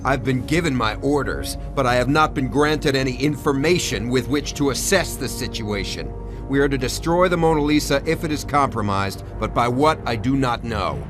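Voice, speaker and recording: A man's voice: medium pitch, lively intonation, lightly acted. Man acting a stern ship commander over music. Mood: controlled, stern. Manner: formal, firm, deliberate authority. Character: stern, principled male navy ship commander. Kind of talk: motion comic voice acting. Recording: dramatic music behind.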